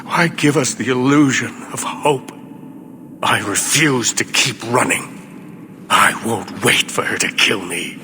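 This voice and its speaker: A man's voice: expressive, slow. A man playing a weary, embattled middle-aged mage leader in a video game, speaking in a formal, solemn way with grave despair. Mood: despairing, bitter. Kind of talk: dramatic video game voice acting. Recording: long reverb, loud background rumble.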